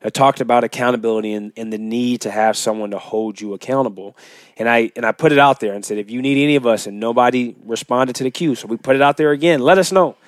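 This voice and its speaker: A man's voice: mid-range voice, quick pace, no echo, studio quality. A man co-hosting a podcast, talking casually and motivationally, with emphatic repetition of phrases. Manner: emphatic, repeats phrases for effect. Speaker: podcast co-host.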